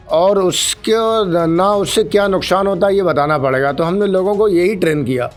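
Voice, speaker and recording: A man, a police officer, giving formal, earnest explanations peppered with English terms in a studio interview. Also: high-pitched. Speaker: senior traffic police officer, interview guest. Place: radio studio.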